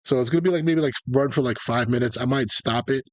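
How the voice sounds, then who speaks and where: A man's voice: medium pitch, quick pace, dry recording. A man giving a casual live-stream lecture on video game tactics, informal and conversational, wandering into tangents as he explains.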